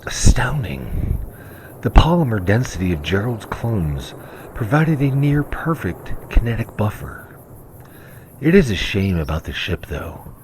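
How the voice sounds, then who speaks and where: A man's voice: on the low side, sing-song, a little slow. A man playing an analytical, detached science officer who speaks formally and precisely in a sci-fi comedy audio drama. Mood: impressed, wistful. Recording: noisy hobbyist recording.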